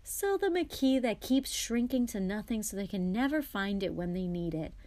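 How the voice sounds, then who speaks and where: A man's voice: sits high, animated, normal speed, a little mellow. A woman voicing an eager, easily flustered middle-aged wizard father with a hesitant, guilty manner, as in an audiobook. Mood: amused, weary. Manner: stammering, hesitant when cornered. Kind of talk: audiobook character voice.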